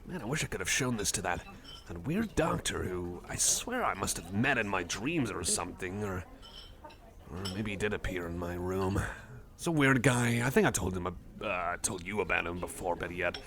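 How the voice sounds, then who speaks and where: Low, creaky nerdy cyborg guy, acted by a man. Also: lively intonation, moderate pace. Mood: geeky, enthusiastic. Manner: hesitant, rambling, apologetic, geeky. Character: friendly, nerdy, rambling male cyborg card enthusiast. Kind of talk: ASMR roleplay, casual rambling. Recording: cafe crowd chatter behind. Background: busy cafe chatter.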